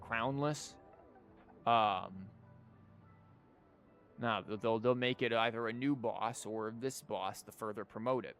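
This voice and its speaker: A man's voice: on the low side, slow pace, long pauses, fairly bright tone, soft background music. A man giving casual livestream commentary on a game, rambling informally and thinking aloud with false starts. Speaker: video game streamer.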